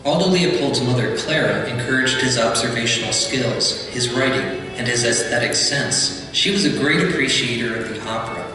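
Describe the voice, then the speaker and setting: A man's voice: mid-range voice, normal speed, hardly any pauses. A man reading scripted documentary narration, with music behind him. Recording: distant echoey hall playback, music behind.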